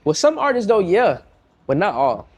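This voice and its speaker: A man's voice: high-pitched, sing-song, normal speed, darkish tone. A young man with a congested voice, a music producer talking casually in a podcast interview, slangy and repeating himself as he tells stories.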